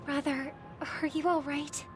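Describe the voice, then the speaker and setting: Game role: a gentle, anxious young sister, high voice, slow delivery, ambient noise behind. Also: female voice, level tone. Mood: concerned. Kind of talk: dramatic video game voice acting.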